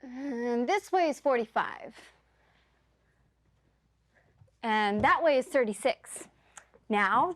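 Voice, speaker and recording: A woman's voice: a little high, dry recording, lightly acted. A woman playing an exuberant, teasing male clown in a stage play, with declamatory, mock-grand delivery. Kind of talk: theatrical stage acting.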